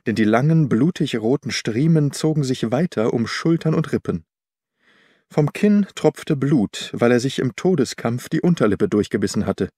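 A man narrates an audiobook in a formal, measured way. His voice sits at a medium pitch. The recording is very dry and close, with hardly any room sound. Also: darkish tone, dry recording. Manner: measured, formal literary storytelling.

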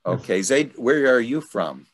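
A middle-aged man hosting an informal online study group, talking in a rambling, halting way over a video call. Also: mid-range voice, big pitch swings. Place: indoors at home, video call. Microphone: video call microphone.

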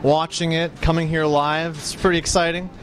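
A man's voice: fairly high pitch, level tone, normal speed, a little hiss or hum. A young man from Canada, an easygoing guest at a gamer roundtable, speaking into a handheld mic. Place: small restaurant.

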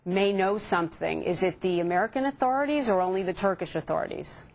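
Female TV news host, thirties, lower register, live studio interviewing. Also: fast, creaky, a little mellow. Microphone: lapel mic.

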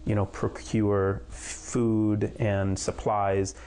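Hesitant man with a gravelly, flat voice. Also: on the low side, extremely slow. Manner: hesitant, many ums and you-knows. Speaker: film producer. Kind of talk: interview answers. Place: home office indoors.

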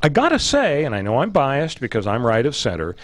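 An American man in his sixties hosting a political panel show, talking in a measured, articulate way as he thinks aloud. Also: mid-range voice, wildly swooping pitch, dry recording. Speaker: radio talk show host. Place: radio studio. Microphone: close broadcast microphone on boom arm.

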